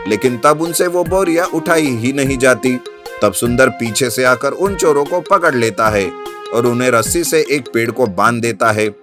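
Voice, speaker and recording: A man narrating an animated children's story from a script. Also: medium pitch. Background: background music.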